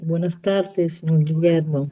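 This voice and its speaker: Una mujer de lengua materna italiana que habla español con acento italiano, buscando las palabras en una clase en línea. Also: female voice, low-pitched, fairly even intonation, mellow. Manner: slow, searching for words. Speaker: student asking questions. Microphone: video call.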